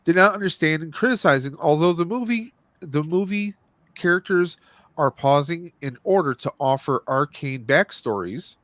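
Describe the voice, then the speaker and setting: A man's voice: a little high, animated, normal speed, a bit bright, dry recording. A man hosting a casual movie review podcast, speaking in a blunt, opinionated and rambling way. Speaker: podcast host.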